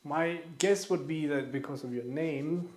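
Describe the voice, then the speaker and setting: A man's voice: fairly high pitch, unhurried, darkish tone. A man from Denmark chatting casually and rambling, swearing now and then, as he cooks on a livestream in his home kitchen. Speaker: livestreamer cooking. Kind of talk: casual chat while cooking. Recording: echoey room with faint noise.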